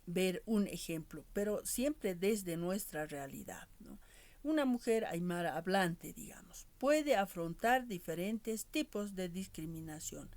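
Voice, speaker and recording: A woman giving a class lecture in a measured way, often ending her points with tag questions to her students. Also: fairly low pitch, darkish tone.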